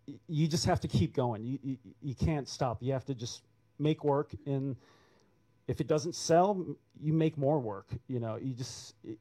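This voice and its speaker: A man's voice: mid-range voice, mellow. A man answering an audience question on an artists' panel, rambling and restarting his sentences. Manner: rambling, self-correcting.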